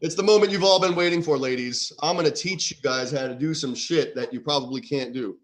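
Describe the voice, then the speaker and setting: A man from New York runs a jokey segment on a podcast over a remote call. His voice sits a bit high for a man, and his delivery is teasing and deadpan. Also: brisk. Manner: teasing, deadpan sexist parody. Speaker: podcast co-host. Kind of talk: mock-serious comic segment, casual chat.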